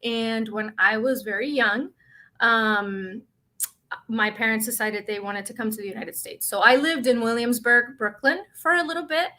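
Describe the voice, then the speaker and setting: A woman's voice: fairly high pitch, steady tone, moderate pace, sharp tone. A middle-aged woman from the Dominican Republic, a guest in a casual interview, emotional and laughing often.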